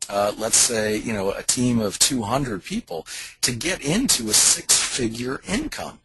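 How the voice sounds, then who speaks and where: A man making an emphatic, persuasive sales pitch on a conference call, like a company leader selling an opportunity. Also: lively intonation, unhurried, bright, forceful tone, husky, low-quality recording.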